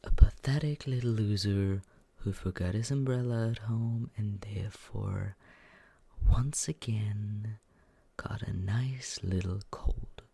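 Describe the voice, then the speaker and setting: A performer voicing a smug, mocking young male roommate in an ASMR roleplay, with a breathy, husky voice that often slips into a whisper and a condescending, taunting drawl. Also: medium pitch, slow pace, dark tone, heavily acted. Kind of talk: ASMR roleplay, slow teasing monologue.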